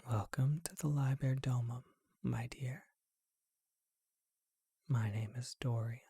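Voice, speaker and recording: A performer voicing a charming, courtly male vampire who keeps a bookshop, whispering slowly and deliberately in an ASMR roleplay. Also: medium pitch, steady tone, dark tone, slightly rough, dry recording, studio quality, full character voice. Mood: courtly, inviting.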